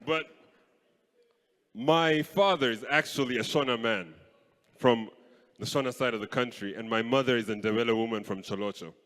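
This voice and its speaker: A young man from Kenya doing live stand-up comedy on a stage mic, playful and animated. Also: medium pitch, moderate pace, long pauses. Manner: animated, playful, switches voices. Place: stage in a large hall. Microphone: handheld stage mic. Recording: live hall with long reverb.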